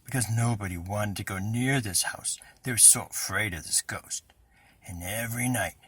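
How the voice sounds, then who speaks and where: A man voicing a young storybook boy who speaks in a hushed, hesitant, drawn-out way, spooky and secretive. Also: fairly low pitch, slightly rough, no echo. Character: Reggie, young boy telling spooky bedtime stories, evasive.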